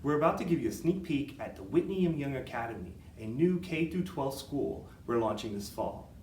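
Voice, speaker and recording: A man's voice: medium pitch, animated, fairly quick, a little mellow. A man speaking as a nonprofit chief executive, giving a welcome announcement. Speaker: nonprofit president and CEO. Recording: distant, roomy, faint background noise.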